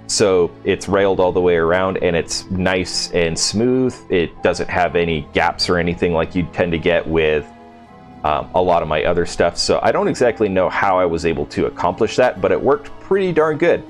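A man's voice: low voice, moderate pace, faint music behind. A man hosting a casual, unscripted video game walkthrough, thinking aloud in an informal way as he shows things. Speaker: video game settlement-build host. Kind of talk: casual gameplay walkthrough commentary.

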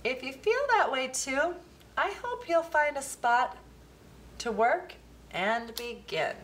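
A woman reading a children's picture book aloud, with expressive, deliberate phrasing. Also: high voice, slight background noise. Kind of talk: storybook read-aloud for children.

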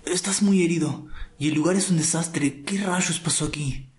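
A man's voice: on the high side, normal speed, a little mellow, close to an everyday voice. A man playing a worried, loyal young vampire ally in an amateur audio roleplay. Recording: echoey, distant from the mic.

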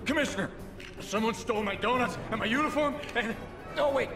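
A man's voice: very high voice, brisk. A man playing a nervous, bumbling young police officer in a video game, flustered and hesitant. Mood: panicky, then relieved. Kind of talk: animated video game cutscene acting. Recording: echoey, music behind.

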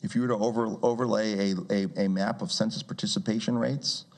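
A man's voice: on the low side, steady tone, fairly quick, vocal fry, a little mellow. A man in his forties speaking at a community meeting with a handheld mic, talking in a conversational way with many restarts and wry asides. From Brazil. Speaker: guest speaker. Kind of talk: public talk with audience questions. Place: community meeting room with seated audience.

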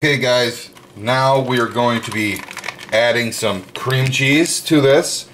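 A man casually narrating his home cooking step by step, chatty and relaxed, with plenty of fillers, recorded in a kitchen with the windows open. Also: medium pitch, slow pace, noisy background.

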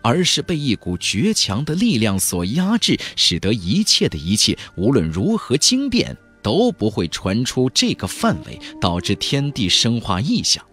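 A man's voice: mid-range voice, wildly swooping pitch, moderate pace, few pauses, fairly bright tone, breathy edge, soft background music. A man narrating a fantasy audiobook in a dramatic, scripted storytelling style.

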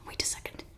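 A woman playing a fussy, playful female evil scientist in an ASMR roleplay, whispering softly and close to the microphone. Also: full character voice. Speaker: ASMR creator.

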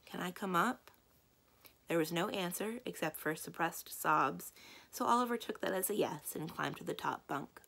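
A young woman reading a children's book aloud as a library storyteller, with measured, expressive narration. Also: lowish voice, vocal fry, breathy edge. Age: young adult.

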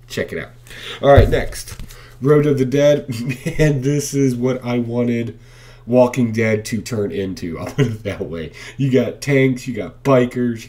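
A man's voice: medium pitch, mellow. A man in his thirties casually reviewing comic books at home to a webcam, enthusiastic and blunt, with frequent fillers. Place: living room at home, indoors. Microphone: webcam facing him at close range.

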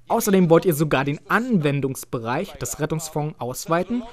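A man's voice: fairly high pitch, darkish tone. A man delivering a voice-over translation of a political speech as an interpreter. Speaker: interpreter voicing a parliament speech in German translation.

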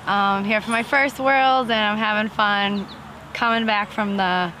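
A woman's voice: medium pitch, fairly even intonation, measured pace, sharp tone. A woman from Massachusetts introducing herself outdoors at a tournament, casual and hopeful. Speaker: amateur player. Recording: outdoor crowd noise.

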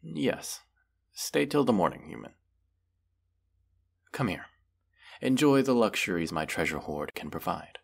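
A man's voice: sits low, sing-song, creaky, slightly rough, exaggerated voice. A man voicing a proud, mighty dragon in a fantasy roleplay, haughty and formal in manner. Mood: coy, possessive. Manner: haughty, deliberate, formal phrasing with menacing undertone. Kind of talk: fantasy roleplay audio acting.

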